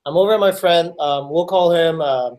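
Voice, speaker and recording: A man's voice: on the high side, normal speed, darkish tone. A man co-hosting a podcast, bantering in a casual, joking way. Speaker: podcast co-host. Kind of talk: casual chat.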